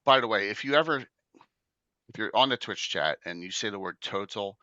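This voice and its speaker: Host, male, South Jersey accent, chatty with lots of restarts, bright animated voice, dry close sound. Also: on the low side, moderate pace, smooth, no room sound, highs cut off. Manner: chatty, with filler-heavy restarts. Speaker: podcast host. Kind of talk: casual livestream chat.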